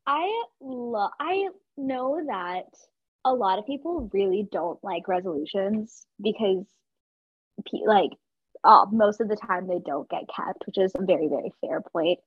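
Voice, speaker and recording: Young female podcast co-host from Georgia, husky and eager, on a dull, lo-fi mic. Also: medium pitch, takes it slowly, no room sound. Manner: bubbly, excitable, talks with lots of fillers. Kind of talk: casual chat. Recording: dull lo-fi sound.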